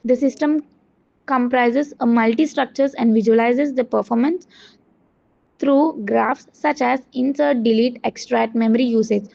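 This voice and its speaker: High-pitched woman presenting, muffled audio. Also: fairly even intonation, gravelly, a little mellow. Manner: halting reading with stumbles and self-corrections. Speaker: student presenter. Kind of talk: scripted project presentation.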